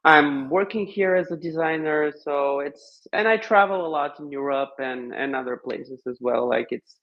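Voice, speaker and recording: Higher-pitched Bulgarian man, slightly muffled recording. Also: normal speed. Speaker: podcast guest. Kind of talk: casual conversation.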